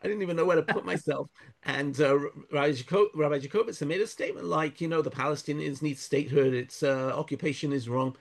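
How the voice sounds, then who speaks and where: A man speaking thoughtfully in an interview, pausing and restarting often, weaving in Hebrew verses. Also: on the high side, creaky. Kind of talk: conversational interview.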